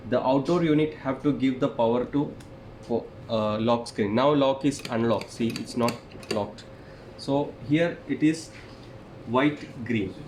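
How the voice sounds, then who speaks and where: Male, non-native English speaker, halting step-by-step technical instructor, echoey room, noticeable background noise. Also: medium pitch, a little mellow. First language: not English. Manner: halting, repetitive, step-by-step explaining. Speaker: tutorial presenter, installer. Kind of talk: hands-on technical demonstration. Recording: echoey room, clearly noisy.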